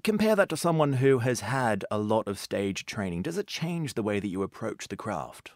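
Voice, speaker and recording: Lively presenter with a low voice. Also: male voice, normal speed, no room sound, clean studio sound. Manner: polished presenter delivery. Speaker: interviewer, presenter. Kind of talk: scripted narration and interview questions.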